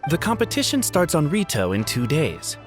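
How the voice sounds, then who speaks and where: An actor playing a courteous, reasonable samurai with a polite, placating manner in a video game. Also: male voice, on the high side, huge pitch swings, normal speed, soft background music. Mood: apologetic, helpful. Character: courteous, conciliatory male samurai acquaintance, mild and reasonable.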